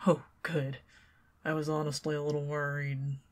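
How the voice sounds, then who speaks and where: A woman with a Southern American accent doing an ASMR roleplay as a chatty, teasing neighbor who talks to the listener and drifts into soft whispers. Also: low-pitched, flat delivery, normal speed. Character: friendly talkative young woman neighbor.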